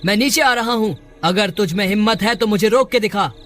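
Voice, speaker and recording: A man's voice: high-pitched, a little fast, fairly bright tone. A man playing anxious, earnest village brothers in a cartoon horror story, speaking in a dramatic, exclaiming way. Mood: bold, devout. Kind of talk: Hindi cartoon story acting.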